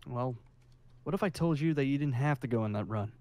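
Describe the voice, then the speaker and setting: A man's voice: medium pitch, fairly quick, a crowd in the background, natural voice. A man playing a smug, menacing colonel who leads a survivor haven, with a commanding, persuasive manner in improvised game roleplay.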